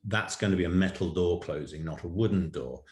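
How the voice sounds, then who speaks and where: Low-voiced man in an echoey room. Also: fairly quick. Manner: detailed, precise, lists examples. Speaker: audio drama writer and co-director. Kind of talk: explaining production work in an interview. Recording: roomy echo.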